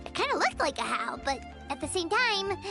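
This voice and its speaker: Tiny fairy girl, very high squeaky childlike voice, swooping intonation, excitable, dramatic, video game companion. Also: fairly quick, over loud music, exaggerated voice. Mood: curious. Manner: refers to herself in third person, exclamatory, dramatic. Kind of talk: animated video game voice acting.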